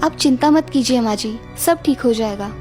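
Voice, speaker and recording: A woman voicing a gentle, dutiful and determined young wife in a story cartoon, sweet and earnest with melodrama. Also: a little high, normal speed, soft background music, natural voice. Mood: dutiful, resolved. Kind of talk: Hindi moral-story cartoon dubbing.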